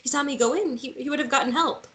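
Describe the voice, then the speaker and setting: Woman acting a high-voiced bewildered young woman. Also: fast, a bit bright. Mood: confused. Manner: insistent, repetitive questioning. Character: bewildered, frightened young woman searching for answers. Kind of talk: emotional table read drama acting.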